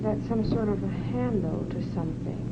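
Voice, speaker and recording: A woman answering questions as a subject in a filmed test, making simple, insistent statements, often slowly. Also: medium pitch. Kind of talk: unscripted answers in an experiment. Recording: dull, hissy 1940s film soundtrack.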